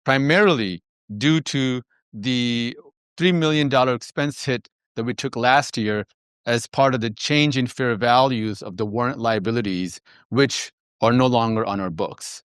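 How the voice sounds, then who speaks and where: A man speaking as a finance executive on an earnings call, presenting in a measured, businesslike way. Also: mid-range voice, a bit bright, clear, resonant tone, no room sound, clean studio sound.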